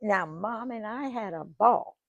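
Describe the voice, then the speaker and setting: An older woman in her nineties tells her life stories to an audience in a folksy, chatty way. Her voice sits at a middle pitch, and she acts out the lines people said. The recording is dry, with no room echo. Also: expressive, measured pace, creaky, dull audio. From Georgia, USA. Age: 90s. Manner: folksy, chatty storyteller who acts out quoted dialogue. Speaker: guest speaker. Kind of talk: storytelling to a live audience. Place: museum event room.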